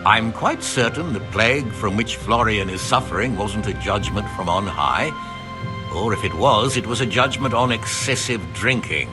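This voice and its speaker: A man's voice: on the low side, expressive, moderate pace, music in the background, close to an everyday voice. An actor playing a learned older master engraver in a video game, wordy and dryly sarcastic about his apprentice. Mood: wry. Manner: verbose, dryly sarcastic, ornate phrasing. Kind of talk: video game dialogue acting.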